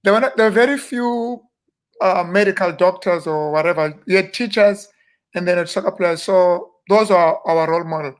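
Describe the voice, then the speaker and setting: A South African man in his fifties talks about the past at an easy, wandering pace. He often stops on fillers and restarts his sentences, and his voice sits a little high for a man. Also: clean tone. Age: 50s. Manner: unhurried, rambling, with frequent fillers and restarts. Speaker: interview guest, minister. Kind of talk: relaxed reminiscing interview talk.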